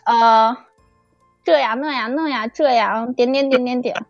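Casting: effusive female guest in casual chat, very high voice, muffled lo-fi sound. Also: a little slow, no room sound. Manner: effusive, exclaiming, fangirlish. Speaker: audio drama producer and guest. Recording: dull lo-fi audio with music behind.

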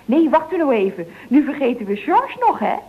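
High, swooping, scatterbrained office girl. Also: big pitch swings, a little fast. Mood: scatterbrained, amused. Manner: chirpy, rambling, exclaiming. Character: chatty, scatterbrained young female office telephonist. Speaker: actress in a radio comedy. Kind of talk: 1970s radio comedy acting. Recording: dull vintage radio recording.

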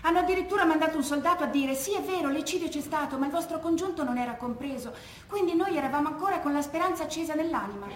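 Actress as high-voiced, quick, well-spoken grieving daughter. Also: female voice, lightly acted. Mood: earnest, hopeful. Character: composed, devout, well-spoken daughter of an executed prisoner. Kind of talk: theatrical testimony monologue. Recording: roomy echo, faint background noise.

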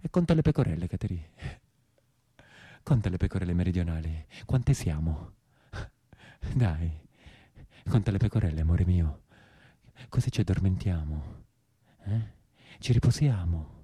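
A man's voice: low-pitched, expressive, normal speed, pauses often, mellow, husky, no echo. An actor playing a tender brigand in prison, coaxing his beloved intimately. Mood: tender, soothing. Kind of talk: theatrical monologue.

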